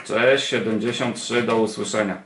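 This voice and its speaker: A man's voice: on the low side, steady tone, moderate pace, over loud music. A man informally demonstrating an amateur radio, muttering mild swear words and calling out over the air. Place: indoor room at a desk beside a transceiver. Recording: roomy, noisy with radio static. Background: radio receiver noise and chatter.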